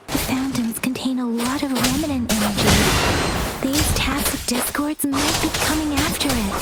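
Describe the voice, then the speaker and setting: Earnest young female scout, high-voiced. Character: earnest, perceptive young woman scout, worried and caring. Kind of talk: dramatic game cutscene acting. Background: music.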